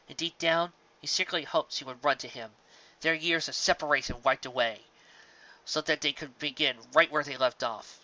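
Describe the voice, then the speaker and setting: Male story narrator, intense forceful tone, dramatic scripted delivery, emphatic phrasing, slightly muffled recording. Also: medium pitch.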